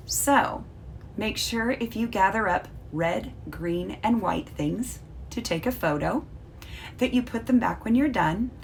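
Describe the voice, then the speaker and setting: A woman teacher reading a storybook aloud and explaining it to children in a deliberate, instructive way with drawn-out emphasis. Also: mid-range voice, creaky. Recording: faint background noise.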